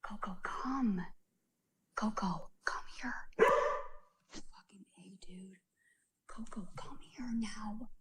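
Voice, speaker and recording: A woman's voice: medium pitch, takes it slowly, long pauses, breathy edge. A young woman in a casual vlog who often drops to a whisper, talking to her dog and swearing casually.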